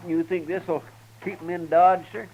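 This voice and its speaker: An actor playing an earnest, eager and talkative young deputy in a 1950s radio drama. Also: male voice, high-pitched, fairly even intonation, measured pace, noisy background. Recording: lo-fi old radio broadcast with music.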